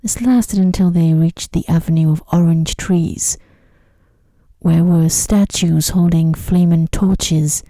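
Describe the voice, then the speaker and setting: A woman reading a sleep story in a soft-spoken ASMR style, with a gentle, hushed, unhurried delivery. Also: fairly low pitch, steady tone, dry recording.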